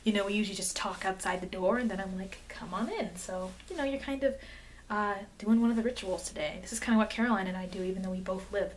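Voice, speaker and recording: A woman's voice: medium pitch, quick pace, lightly acted. A woman playing a friendly, flustered, eager vampire girl in an ASMR roleplay, speaking in an awkward, hesitant, rambling way. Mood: flustered, surprised. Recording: noisy amateur microphone, background hiss.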